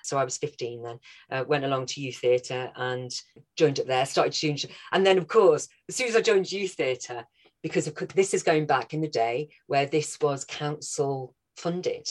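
A woman's voice: rumbling low, normal speed. A woman from North Wales with a Scouse-tinged accent, chatting as a guest actress, enthusiastic and laughing often. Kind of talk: casual interview chat.

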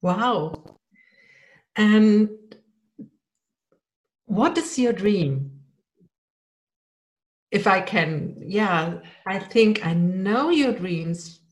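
A woman interviewer asking a question gently and hesitantly. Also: medium pitch, lively intonation, very slow, pauses often.

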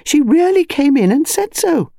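A woman voicing an excitable upper-class English country wife in an audiobook, urgent and insistent. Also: high voice, normal speed, creaky, no room sound, clean studio sound, voice pushed up, full character voice. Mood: urgent insistence.

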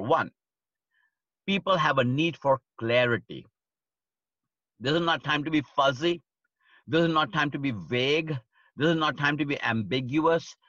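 A man teaching leadership over a livestream, hammering his points home by saying them again and again. His voice is bright and forceful. The sound is dry and slightly dull. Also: on the high side, dry recording. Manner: emphatic, repeats key phrases for stress. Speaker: leadership speaker.

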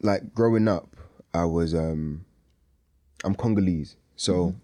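A young man with a low-pitched voice talks casually as a music artist in an interview. His first language is Lingala, and he speaks informally, often tagging phrases with a check that he is understood. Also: slow, background noise. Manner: informal, repeats tag questions like "you know what I mean". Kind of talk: casual chat.

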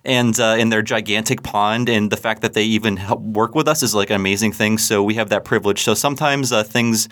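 A man with a fairly low voice chats casually as a podcast co-host. He keeps things light and jokey and scatters fillers through his sentences. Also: steady tone, brisk, few pauses, fairly bright tone. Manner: joking, upbeat, filler-heavy. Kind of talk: casual chat.

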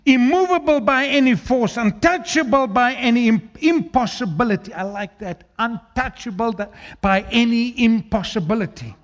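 A male preacher with an Afrikaans accent and a fairly high, animated voice that jumps all over its range. He moves from ringing, declaimed lines down to hushed, low asides. His first language is Afrikaans. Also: normal speed. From South Africa. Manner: swings from loud declaiming to quiet, low asides. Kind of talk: sermon.

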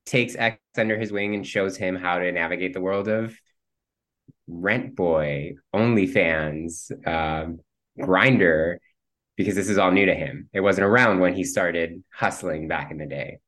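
A man with a fairly low voice, talking playfully about his acting work. His first language is Spanish, and he chats casually with frequent ums and quick laughs. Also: fairly bright tone. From Cuban heritage. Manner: chatty, playful, laughs easily, many ums. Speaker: guest actor. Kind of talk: casual interview chat.

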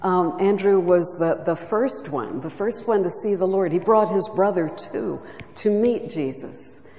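A soft-spoken woman teaching at a church retreat, reflective and unhurried with the odd filler. Her voice is low and mellow, and it rings with a long echo through a large hall. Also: expressive. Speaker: retreat speaker. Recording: echoey hall recording.

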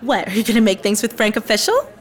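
A woman playing a curious, opinionated, teasing teenage prep school girl in a radio drama, with an expressive, gossipy, sarcastic delivery. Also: a little high, fast talker. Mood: prying, insistent.

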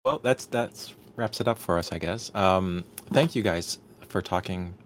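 A man's voice: deep voice, unhurried. A man hosting a panel in a casual, unscripted and tentative way. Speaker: host, moderator. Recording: noisy room ambience.

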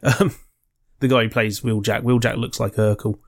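A man's voice: on the low side, fairly even intonation, normal speed. A man co-hosting a podcast, chatting casually about a film and teasing his friend with jokes and swearing. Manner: chatty, jokey, swears freely and teases his co-host. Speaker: podcast co-host reviewing films. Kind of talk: casual chat.

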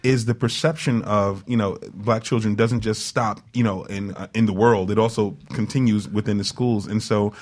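A man's voice: fairly low pitch, fairly even intonation, moderate pace, few pauses, a little mellow. A man co-hosting a radio show in casual conversation. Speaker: radio co-host.

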